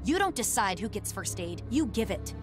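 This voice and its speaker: A woman's voice: mid-range voice, sing-song, moderate pace, music in the background, close to an everyday voice. A woman playing a stern, proud, rule-bound young soldier in a video game, speaking bluntly. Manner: blunt, clipped, scolding. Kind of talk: English game voice acting.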